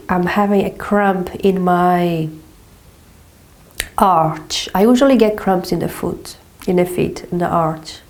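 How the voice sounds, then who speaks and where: A woman with a blocked nose from a cold, calmly guiding a movement exercise step by step. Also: medium pitch. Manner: slow, calm step-by-step guidance with frequent "okay". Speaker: movement teacher. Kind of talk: guided exercise instruction.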